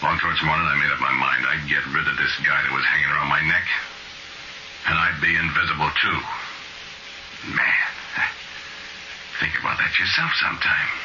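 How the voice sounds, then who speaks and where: A man's voice: rumbling low, steady tone, normal speed, pauses often, noisy background. An actor playing a shifty small-time crook, telling his story in colloquial slang as 1940s radio noir. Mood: confiding, sly. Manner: colloquial, confiding, ungrammatical. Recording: muffled old radio recording with music.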